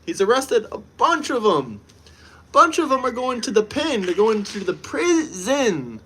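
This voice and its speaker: A brash man from the United States chats on a live stream with a fairly high voice. He talks emphatically and repeats his points for effect. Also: very animated, measured pace, a bit bright, a little hiss or hum. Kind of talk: casual live-stream chat.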